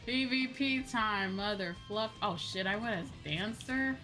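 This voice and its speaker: A woman's voice: medium pitch, measured pace, bright, forceful tone. A woman chatting casually and expressively as she hosts a live gaming stream, rambling conversationally with viewers. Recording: music playing behind the voice. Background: music.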